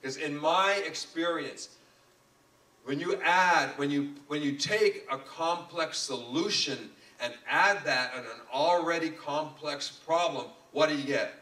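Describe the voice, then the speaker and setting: Bright, forceful man speaking in an echoey room. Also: fairly high pitch, measured pace. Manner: emphatic, repeats phrases for effect. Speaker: motivational business speaker. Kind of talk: live motivational seminar talk. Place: room with a live audience. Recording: roomy echo, distant microphone.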